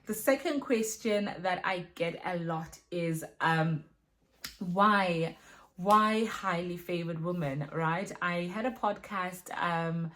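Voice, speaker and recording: A woman from Zimbabwe, a mother and women's ministry leader, chatting casually through a self-filmed Q&A, recorded on her phone, with many fillers and tag questions. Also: on the low side. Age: adult with children.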